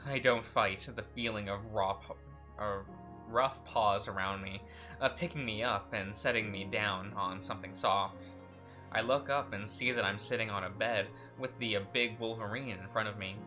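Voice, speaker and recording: A man's voice: lowish voice, moderate pace, over music. A young man hosting a let's play, chatting casually, laughing often, and reading game text aloud with frequent stumbles.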